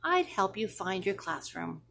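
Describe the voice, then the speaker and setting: A woman's voice: medium pitch, very animated, measured pace, vocal fry, a bit bright, exaggerated voice. A woman voicing a patient, playful mother who reassures her child with thoughtful answers in a children's storybook. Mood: reassuring. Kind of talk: expressive children's storybook reading.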